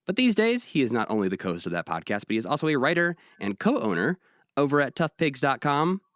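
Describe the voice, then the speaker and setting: Lively male podcast co-host on a call. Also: mid-range voice, quick pace, no echo, clean studio sound. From Chicago. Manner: upbeat, animated introductions. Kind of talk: casual chat. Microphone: video call.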